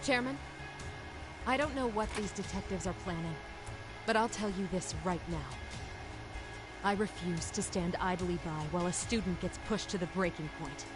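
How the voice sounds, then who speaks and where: Stern female teacher, actress, game role: lowish voice, firm, formal, music underneath. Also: normal speed, long pauses, natural voice, low-quality recording. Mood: indignant, resolute. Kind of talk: video game dub acting.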